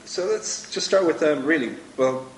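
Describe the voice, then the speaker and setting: A man's voice: fairly high pitch, animated, normal speed, a little hiss or hum. A man hosting a live talk show event, introducing a guest with frequent ums. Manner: hesitant, many ums. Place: event hall with audience. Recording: echoey room with faint ambience.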